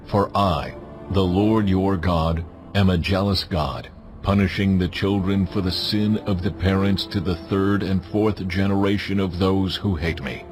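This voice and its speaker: A man playing a stern, majestic deity who gives solemn, authoritative commands in a dramatized scripture production. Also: deep voice. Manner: solemn, authoritative, deliberate phrasing. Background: music.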